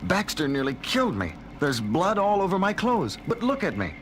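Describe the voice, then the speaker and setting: An actor playing a firm, suspicious space base commander in an audio drama, with an urgent, questioning manner. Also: male voice, high voice, wildly swooping pitch, normal speed, slight background noise. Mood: tense, defiant. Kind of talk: 1970s audio drama acting. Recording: music under voice.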